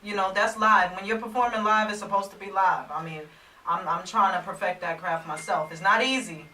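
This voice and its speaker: A woman's voice: medium pitch, fairly quick, sharp tone, far from the mic. A young woman co-hosting a music talk show in a small radio studio, chatty and agreeable, with casual slang. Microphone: desk microphone. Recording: echoey room, a bit off mic.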